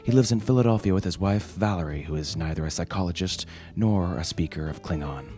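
A man's voice: sits low, a little fast, mellow, soft background music. A man hosting a podcast, reading scripted comic satire and introductions in a wry, theatrical way. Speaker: podcast host.

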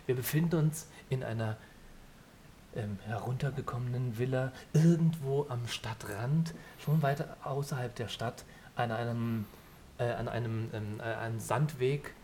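A man's voice: medium pitch, measured pace, warm tone, slight background noise. A man leading an improvised audio play, informally setting the scene and searching for words. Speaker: narrator and game leader.

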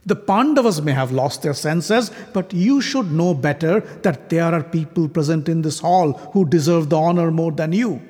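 A man voicing an arrogant, furious king who hurls scornful insults and shouts in defiance. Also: on the high side, animated, moderate pace, few pauses, lightly acted. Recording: long hall reverb.

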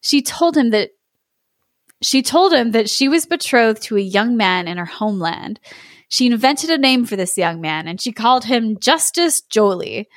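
A woman voicing a sly, melodramatic male cat in a storybook read-aloud, mournful and drawn-out in manner. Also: a little high, very animated, no room sound, clean studio sound. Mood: wistful storytelling.

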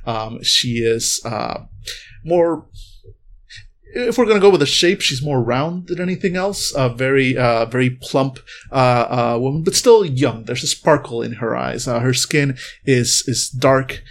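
A relaxed game master talks the players through the scene in a mid-pitched, easygoing voice. He rambles, stops and restarts, and narrates loosely rather than from a script. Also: unhurried. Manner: rambling, many fillers and restarts, laid-back.

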